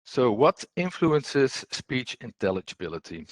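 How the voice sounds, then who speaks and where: A man giving an explanatory technical presentation as a trainer, unscripted, in a halting, hesitant way with repeated words. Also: medium pitch, very animated, no echo.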